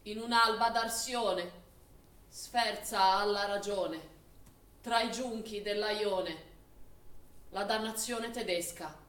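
An actor playing a grave poetic orator, reciting solemn, incantatory mourning verse in a theatre piece. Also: male voice, high-pitched, slow, pauses often, pushed and bright, full character voice. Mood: mournful, hushed. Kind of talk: stage poetry recitation in radio theatre. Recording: roomy, distant stage recording with hiss.

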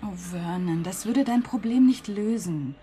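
A woman's voice: medium pitch, unhurried, warm tone, a little hiss or hum, natural voice. An actress in a German radio play voicing a discontented, reproachful middle-aged wife who is scornful of her husband. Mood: defensive, urging.

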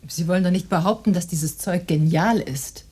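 An actress playing a sceptical, critical woman with a dry manner and husky voice in a German radio drama. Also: on the low side, moderate pace, dark tone, slight background noise, natural voice.

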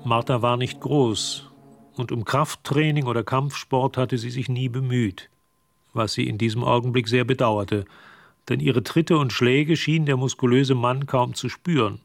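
Casting: male storyteller, medium pitch with gravelly edge, composed literary radio narration. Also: fairly even intonation, a little slow, dry recording, clean studio sound.

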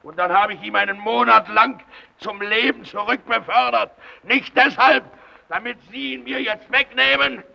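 An actor playing a possessive, brooding lighthouse man in an old radio drama, halting and insistent, repeating his phrases. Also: extremely high pitch, gravelly, bright, forceful tone, full character voice. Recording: dull vintage radio play recording.

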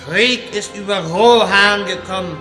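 Man acting as touchy grand wizard, slightly high voice, unhurried, theatrical, slangy when flustered, fantasy parody audio play. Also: music in the background. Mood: grandiose.

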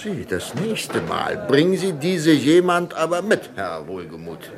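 An actor playing a jovial, proud exiled writer in a crime radio play, talking expansively with a gravelly voice. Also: male voice, fairly high pitch, big pitch swings, unhurried. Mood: teasing. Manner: expansive, chuckling, hesitating theatrically.

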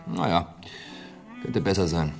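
A chatty, animated bartender. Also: male voice, low-pitched, a little slow, creaky, exaggerated voice. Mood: casual. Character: chatty male hotel bartender sharing news. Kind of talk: German radio play acting. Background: guitar music.

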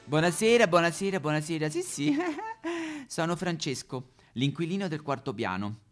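Coy gossipy neighbour, swooping pitch. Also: male voice, huge pitch swings, moderate pace, over music. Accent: Roman. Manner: coy, gossipy, with giggles and verbal tics. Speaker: solo stage performer. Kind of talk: theatrical comic character monologue.

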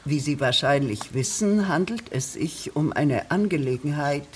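A woman's voice: low voice, a little slow. Radio drama bureaucrat: officious woman, formal, rambling, digressive, over faint background noise. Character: fussy, long-winded female village official, deferential bureaucrat.

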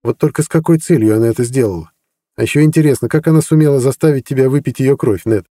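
A man's voice: mid-range voice, quick pace, dark tone, no room sound, pitched above the performer's own voice, lightly acted. A man reading an audiobook voicing a sly, learned prince who teases ironically and lectures. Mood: mocking.

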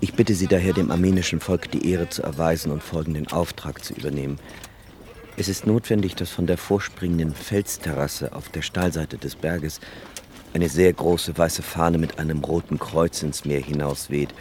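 An actor playing a grave, courteous leader who makes a formal, earnest request in a radio play. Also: male voice, a bass voice, fairly even intonation, normal speed, darkish tone, slight background noise.

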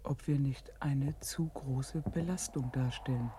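A woman playing a subdued, pensive survivor in a 1970s radio drama, speaking in a hushed, formal way. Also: rumbling low, measured pace. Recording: faintly noisy 1970s radio recording.

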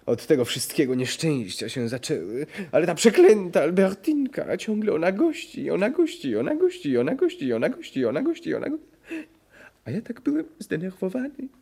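A fretful old-fashioned aristocrat in a radio drama. The voice is fairly high and husky, swinging widely as he obsessively repeats himself. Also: wildly swooping pitch, moderate pace, dark tone, full character voice. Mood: agitated, obsessive. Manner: obsessive stuttering word repetition. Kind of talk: theatrical radio play acting.

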